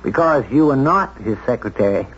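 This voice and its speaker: A calm, probing sheriff in a vintage radio mystery, played by a man. He speaks with measured skepticism at an ordinary male pitch, on a slightly hissy, muffled recording. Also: lightly acted. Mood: skeptical, dry. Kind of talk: 1970s radio drama acting.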